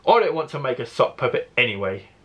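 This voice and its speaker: A man's voice: mid-range voice, big pitch swings, quick pace, fairly bright tone, slight background noise. A man voicing a young schoolgirl from a children's storybook, easily frustrated and emphatic in manner.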